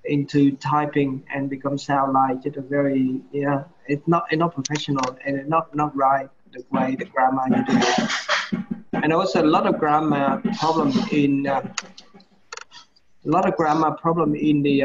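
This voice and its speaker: A middle-aged man with a Vietnamese accent talks from his home over a video call, his voice a touch high. He stops and restarts a lot and fills gaps with "you know". The audio is dull and lo-fi with a faint hiss behind it. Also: fairly even intonation. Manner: halting, many restarts and "you know" fillers. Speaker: medical teacher giving feedback. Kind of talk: online class feedback, mixing Vietnamese and English. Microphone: laptop or webcam mic on a video call.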